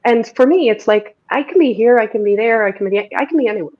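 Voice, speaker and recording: A woman's voice: on the high side, fast talker. A woman with a Dutch accent talks casually as a guest, her thoughts rambling through fillers and restarts. The recording is a little dull and lo-fi. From Netherlands. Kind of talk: casual interview conversation.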